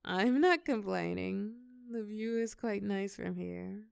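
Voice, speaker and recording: Woman acting a creaky-voiced, tender college girl. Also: mid-range voice, slow pace, a little mellow, no room sound, studio quality. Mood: teasing, fond. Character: shy, tender college girl confiding in a close friend. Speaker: audio roleplay performer. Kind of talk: intimate scripted audio roleplay, one side of a conversation.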